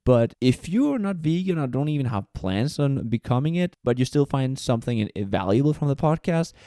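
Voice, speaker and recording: A man's voice: mid-range voice, big pitch swings, fairly quick, warm tone, no room sound, clean studio sound. A young man from Mauritius hosting a solo podcast, talking earnestly and conversationally with frequent fillers and restarts. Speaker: podcast host.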